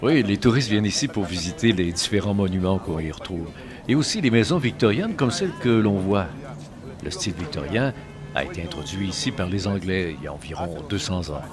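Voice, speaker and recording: A man's voice: low voice, animated, fairly quick. A man reading scripted travel-show narration and voice-over translation. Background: background music.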